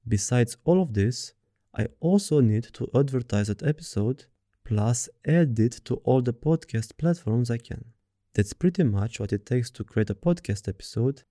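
A man with a Romanian accent hosting a podcast, reading a word-for-word script in an even, deliberate way. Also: mid-range voice, dry recording, studio quality. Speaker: podcast host.

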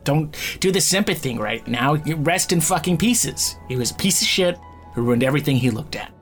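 Lively, flippant, wounded criminal. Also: female voice, low-pitched, fairly quick, faint music behind. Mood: bitter, flippant. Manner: slangy, joking, rambling deflection. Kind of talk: fiction podcast audio drama acting.

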